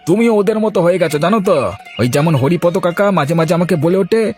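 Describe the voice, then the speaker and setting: A man's voice: high-pitched, expressive, brisk, darkish tone, soft background music. A man voicing an idle, self-important village husband in a cartoon, indignant and preachy. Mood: huffy. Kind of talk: cartoon voice acting.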